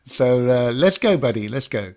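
A man with a British accent hosting an interview podcast in a smooth, laid-back radio voice, with frequent "uh" fillers. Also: medium pitch, animated, moderate pace, darkish tone, no echo, studio quality. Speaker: podcast host.

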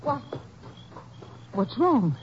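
A texas ranch wife, high and very lively voice, dull old radio sound. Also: female voice, very slow, exaggerated voice. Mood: anxious. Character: homey rancher's wife, teasing and fretful. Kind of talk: 1950s radio drama acting. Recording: dull old radio broadcast with faint hiss.

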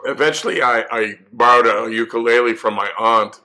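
A male musician from California, hoarse from laryngitis, telling stories in a rambling, relaxed way with many fillers. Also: medium pitch, normal speed, poor audio. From California, USA. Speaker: musician guest. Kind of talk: storytelling interview answers.

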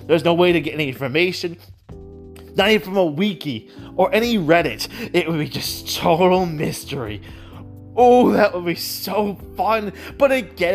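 An excitable, giggly speaker rambling casually about a favourite hobby, often repeating and stammering over words. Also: medium pitch. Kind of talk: casual rambling monologue about audio dramas.

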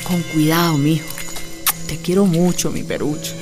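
An actress playing a watchful, affectionate guerrilla mother in a radio drama, colloquial and often hushed, with a Venezuelan accent. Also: low voice, steady tone, normal speed, over loud music, lightly acted. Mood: tender.

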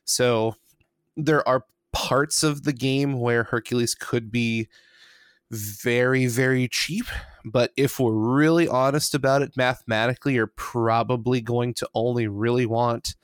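A man co-hosting a casual card-game podcast, animated and quick to laugh, working through numbers out loud. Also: mid-range voice, clean tone, dry recording, studio quality. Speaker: podcast co-host.

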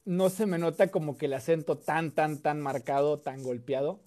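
Mellow-voiced Mexican man, chatty storytelling. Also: a little high, normal speed. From Mexico (Coahuila). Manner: relaxed, chatty, many fillers and repeats. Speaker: guest, content creator. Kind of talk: casual storytelling chat.

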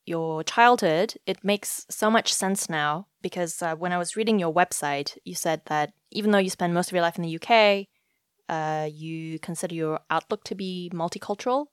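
Brief: woman host, mostly American accent with faint South African traces, smooth, lower voice, reflective. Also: moderate pace, no room sound, studio quality. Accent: American with slight South African traces. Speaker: podcast host. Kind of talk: casual conversational interview.

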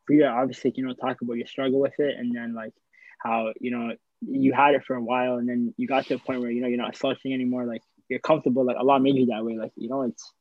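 A man's voice: mid-range voice, flat delivery, fast talker, no echo, narrow, tinny audio. A man co-hosting a podcast in casual chat, talking loosely with many "like" and "you know" fillers. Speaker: podcast co-host.

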